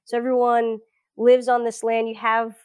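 A woman's voice: a little high, completely flat delivery, moderate pace, a little mellow, clear, resonant tone, no room sound, clean studio sound. An American woman in her thirties chatting reflectively as an interview guest, telling her story in a casual way. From South Florida, US. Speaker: podcast guest, academic. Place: at home indoors.

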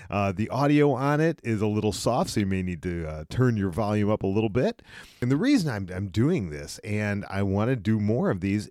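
A man's voice: fairly low pitch, darkish tone, dry recording. Upbeat male podcast host, dry sound. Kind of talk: podcast intro and interview.

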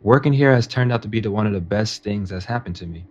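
An actor playing a confident, ambitious nineteen-year-old estate aide in a modern audio drama, speaking respectfully and earnestly. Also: male voice, on the low side, fast talker, dull audio, lightly acted. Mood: polite, guarded. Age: young adult. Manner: respectful, earnest, self-assured.

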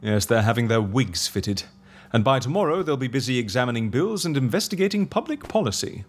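Husky, wildly swooping gentleman. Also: mid-range voice, sing-song, fairly quick. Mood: pleased. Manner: theatrical, swooping, easily flustered. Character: dotty, excitable, childlike gentleman who runs an eccentric office. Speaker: actor in a comedy fiction podcast. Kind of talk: audio comedy drama acting.

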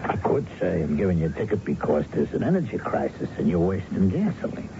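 An actor playing a sarcastic, folksy highway patrol officer who lectures in a 1970s radio drama. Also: male voice, very animated. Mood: sardonic. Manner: folksy, sarcastic, drawn-out questioning. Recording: muffled, noisy old radio broadcast.

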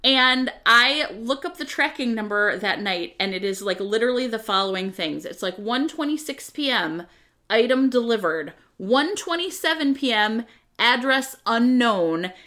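A woman's voice: fairly high pitch, expressive, moderate pace, pushed and bright. A woman on a podcast chatting casually, telling anecdotes in an informal, piece-by-piece way. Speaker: podcast guest or co-host. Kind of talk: casual chat.

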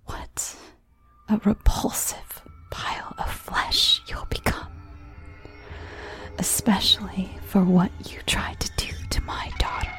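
A woman voicing a gleefully cruel, doting mother in a horror story, breathy and whispering as she savors each word. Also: medium pitch, huge pitch swings, takes it slowly, a bit bright, soft background music, full character voice. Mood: cruel glee. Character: sinister mother, gleefully cruel, lovingly proud of daughter.

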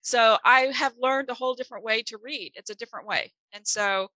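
A woman whose first language is German, co-hosting a podcast in casual, chatty conversation, self-deprecating with quick asides. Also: on the high side, pushed and bright, dry recording, low-quality recording. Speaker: podcast co-host, author. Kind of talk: casual chat.

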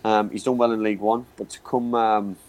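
A man's voice: on the low side, flat delivery, a little fast, darkish tone. A man giving football analysis on a chat show, often repeating words and saying you know what I mean. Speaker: football pundit.

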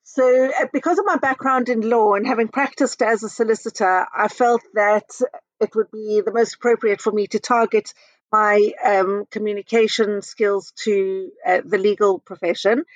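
A middle-aged woman from South Africa, a guest in a conversational interview, talking in a measured, thoughtful way with frequent ums and uhs. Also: medium pitch, fairly even intonation, no echo, dull audio. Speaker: interview guest, communications consultant.

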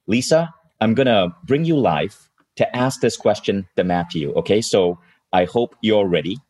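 A man's voice: lowish voice, lively intonation, normal speed, a little mellow, slight background noise. A male host running a live-streamed interview, enthusiastic and speaking to the audience watching.

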